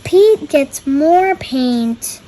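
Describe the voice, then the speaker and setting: Young girl, high voice, storytime read-aloud, explains pictures, faint background noise. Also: animated, extremely slow. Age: child. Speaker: young reader presenting a picture book.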